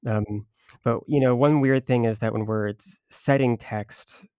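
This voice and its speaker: A man's voice: medium pitch, steady tone, unhurried, darkish tone, clear, resonant tone, no echo. A man hosting an interview who asks long, rambling questions and often restarts and corrects himself. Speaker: podcast host, interviewer.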